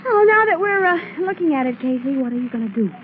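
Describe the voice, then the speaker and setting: A woman's voice: high-pitched, sing-song, fast. An actress playing a spirited, playful female sidekick to a crime photographer in a 1940s radio drama. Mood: curious, eager. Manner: playful, wry, eager. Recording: thin, lo-fi 1940s radio with hiss.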